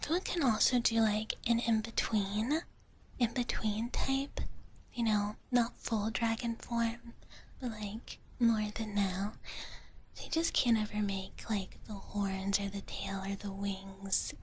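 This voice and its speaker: A woman in a gentle dragon big-sister persona whispers softly and breathily close to the mic, chatting casually and cutely as an ASMR livestream host. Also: medium pitch, steady tone. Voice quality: soft, breathy, mostly unvoiced whisper. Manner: slow, cutesy, gentle, refers to herself in third person. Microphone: close ASMR microphone.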